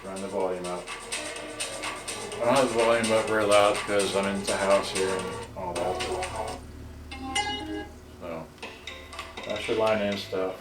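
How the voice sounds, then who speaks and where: A man speaks slowly and haltingly from some distance in an echoey room, with loud music behind him. He sounds like he is showing off gear off the cuff, muttering through each step. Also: deep voice, fairly even intonation. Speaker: repairer demonstrating serviced cassette players. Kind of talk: casual unscripted product demonstration. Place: indoors in a house.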